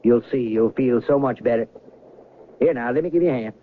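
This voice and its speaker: Actor as unruffled conductor, tinny old radio. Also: male voice, medium pitch, brisk, natural voice. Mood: soothing, courteous. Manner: polite, unruffled, cryptic. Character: calm, evasive, courteous male train conductor. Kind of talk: radio drama acting. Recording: noisy, narrow-band old radio recording.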